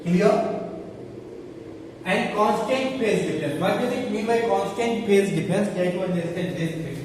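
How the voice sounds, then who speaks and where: A man's voice: high voice, lively intonation, moderate pace. An Indian-accented man, a native Hindi speaker, giving a physics lecture in an emphatic, repetitive way with frequent questions to his students. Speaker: physics teacher. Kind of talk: classroom lecture with rhetorical questions. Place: reverberant indoor room. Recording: echoey room, distant mic, faint noise.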